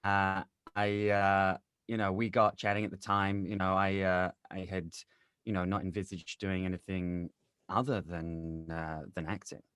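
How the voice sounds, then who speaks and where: A man reminiscing casually as an interview guest, haltingly searching for his words. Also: deep voice, little pitch movement, a bit bright, smooth, no room sound. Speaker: podcast guest, actor and producer.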